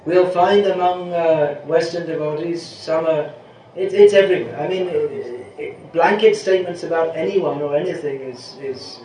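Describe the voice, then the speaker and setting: A man's voice: fairly high pitch, moderate pace, hardly any pauses, a little mellow. An older man giving an informal question-and-answer talk as a spiritual teacher, rambling and restarting his sentences, chuckling now and then. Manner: rambling, self-interrupting, chuckles at times. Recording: echoey room with faint background noise.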